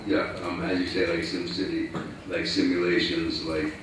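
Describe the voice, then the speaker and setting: Audience member, very deep voice, stammering, far from the mic in an echoey hall. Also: male voice, completely flat delivery, moderate pace, a bit bright, slight background noise. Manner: stammering, unsure.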